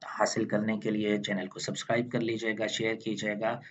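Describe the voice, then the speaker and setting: A man, hosting a channel and talking through a mind map lesson in a measured, explanatory way. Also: on the low side, monotone, highs cut off. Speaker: channel host.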